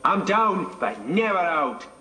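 A scrappy young video game boxer, brash and sure of himself. His boastful taunts sit high in pitch. The capture off a TV is echoey. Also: big pitch swings, slow pace, music in the background, heavily acted. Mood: cocky. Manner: brash, boastful one-liners. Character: cocky scrappy male gambler boxer, young adult.

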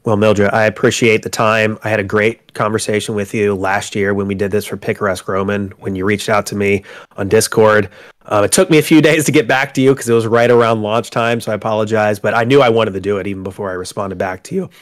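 A man with a stuffy, congested voice from a cold speaks in a fairly low register. He talks as a guest in a casual interview, looping through fillers and false starts as he explains things. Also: steady tone, quick pace. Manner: rambling, with many fillers and restarts. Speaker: tabletop game publisher, guest. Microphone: home microphone on a call.

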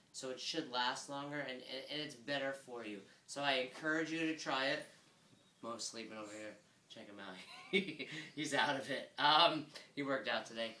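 A man's voice: fairly high pitch, sharp tone. Upbeat man in an echoey office. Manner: upbeat, gestures a lot with both hands. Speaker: gym owner giving weekly update. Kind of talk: casual talk to camera. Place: small office room with desk and chairs. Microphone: fixed camera a few feet away.

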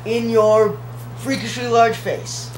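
A man's voice: extremely high pitch, takes it slowly, echoey room, a little hiss or hum, rough recording. A teenage boy co-hosting a casual vlog at home, teasing and wisecracking. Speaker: vlog co-host. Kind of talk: casual chat.

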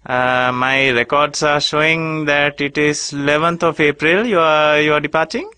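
Stammering Sri Lankan radio prankster. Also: male voice, fairly high pitch, normal speed, bright, forceful tone, clean tone. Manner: hesitant, stammering, fake customer-service politeness. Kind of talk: prank phone call.

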